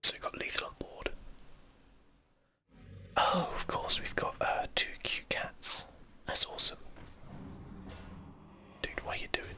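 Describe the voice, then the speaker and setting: A man's voice: a little slow, long pauses, sharp tone. A man whispers breathily in casual, rambling ASMR commentary while playing a video game.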